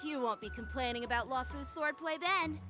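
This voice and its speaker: A woman's voice: high-pitched, a little fast, natural voice. A woman voicing an earnest, proud teenage boy sword master in an anime game, formal and self-assured, with music behind. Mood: firm, instructive. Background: game background music.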